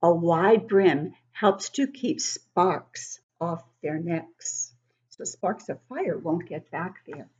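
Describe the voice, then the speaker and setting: Animated woman reading slowly to young children. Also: lowish voice, breathy edge, lo-fi sound. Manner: animated, explanatory, child-directed. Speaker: read-aloud book club host for young children. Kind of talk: expressive picture-book reading with simple explanations.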